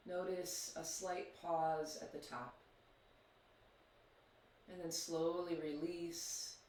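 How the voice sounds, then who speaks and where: A man leading a guided yoga meditation, speaking slowly and soothingly with long pauses. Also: fairly high pitch, slight background noise. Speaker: yoga teacher. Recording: very echoey, distant mic.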